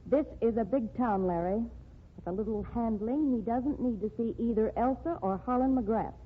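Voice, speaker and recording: A woman's voice: on the high side, moderate pace, slight background noise, close to an everyday voice. An actress playing a determined woman writer who pushes a man to start over, in an old radio drama. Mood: defiant. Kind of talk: 1940s radio drama acting. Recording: tinny lo-fi old radio broadcast.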